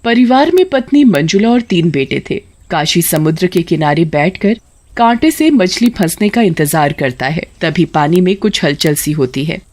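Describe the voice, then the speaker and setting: A woman's voice: on the low side, lively intonation, normal speed, a little mellow. A woman narrator reading a scripted moral tale for children. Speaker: story narrator.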